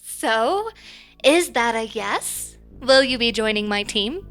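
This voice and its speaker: A composed, businesslike woman boss in an audio drama. She speaks formally and dryly in a fairly high voice, with faint music behind. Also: animated, fairly bright tone, full character voice. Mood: dryly amused. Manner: formal, measured phrasing, dry.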